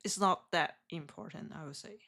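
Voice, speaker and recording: A woman from Hong Kong with a heavy Hong Kong accent, chatting with viewers on a live trading stream in halting, self-correcting English. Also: lowish voice, pushed and bright. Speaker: day trader streaming live.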